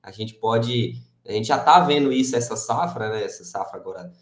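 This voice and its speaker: A man's voice: medium pitch, normal speed, reverberant. A man, an agronomy researcher, speaking as a guest in a casual interview, agreeable and with hesitant restarts.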